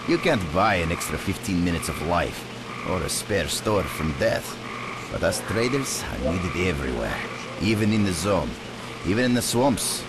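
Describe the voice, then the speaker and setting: Shady game trader: extremely deep male voice, folksy, shrewd and world-weary, heavy noise behind. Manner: folksy, chatty, wry. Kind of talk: video game dialogue.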